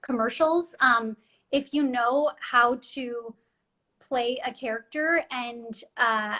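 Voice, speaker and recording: A woman's voice: a little high, slow, sharp tone. A young adult woman chatting in an interview about voice acting, talking freely with many ums and restarts. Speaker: voice actress guest. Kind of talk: casual interview chat.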